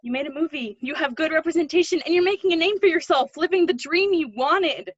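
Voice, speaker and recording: A woman reading the part of an older, guarded but protective woman in a play, conversational and gently teasing. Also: very high voice, brisk, no echo, dull audio. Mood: pleading, earnest. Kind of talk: play readthrough acting.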